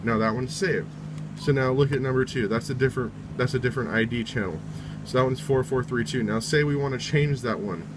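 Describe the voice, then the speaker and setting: A man's voice: medium pitch, a little fast, background noise. A man giving a casual, step-by-step how-to in an informal way, often reiterating each step. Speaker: tutorial presenter.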